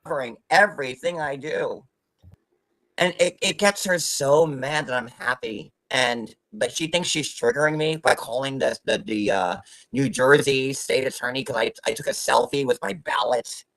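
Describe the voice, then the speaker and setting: A woman's voice: extremely low pitch, moderate pace, a bit bright, no room sound, low-quality recording. A woman livestreamer ranting in a blunt, profane, rambling way, often restarting her sentences. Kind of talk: casual livestream chat.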